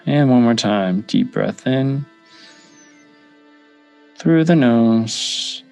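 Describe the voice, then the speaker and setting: A man guiding a meditation, speaking in short measured phrases with pauses between them. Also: medium pitch, lively intonation, gravelly, a little mellow. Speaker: meditation guide. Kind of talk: guided meditation. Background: background music.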